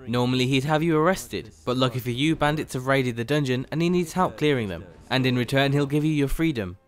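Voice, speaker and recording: A man's voice: medium pitch, fairly quick. A man hosting a game mod video review, reading scripted narration. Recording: faint music behind the voice. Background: faint music.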